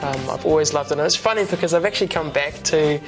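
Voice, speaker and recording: A man's voice: a little high, quick pace, music in the background. Laid-back young Kiwi man. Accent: New Zealand. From New Zealand. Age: 20s. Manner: relaxed, rambling, lots of ums and restarts. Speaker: musician guest. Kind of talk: casual interview chat. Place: TV studio couch.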